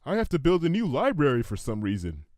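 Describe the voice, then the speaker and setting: Man acting a reckless cartoon mare, high-pitched, breezy, oblivious, swooping delivery. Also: wildly swooping pitch, quick pace, darkish tone, no room sound, studio quality. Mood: cheerful, oblivious. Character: brash, oblivious, gleefully reckless female cartoon pony. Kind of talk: animated fan fiction character reading.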